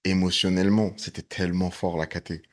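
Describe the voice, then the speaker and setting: A man's voice: sits low, flat delivery, brisk. A man hosting a casual interview, listening attentively and chiming in with short affirmations. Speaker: podcast host.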